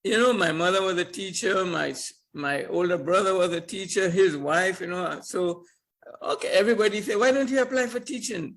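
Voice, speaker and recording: An older man, a podcast guest telling his life story in an animated way, chuckling and repeating words as he goes. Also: sits high, a little fast. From Trinidad and Tobago. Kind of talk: casual storytelling interview.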